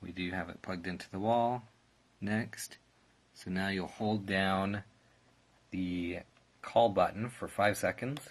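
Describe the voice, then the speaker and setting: A man with a very low-pitched voice walks through a setup in a casual, plain way. He sounds like a product reviewer explaining each step, with clear background noise in the room. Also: takes it slowly, long pauses. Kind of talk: casual step-by-step tutorial narration. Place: indoors in a room.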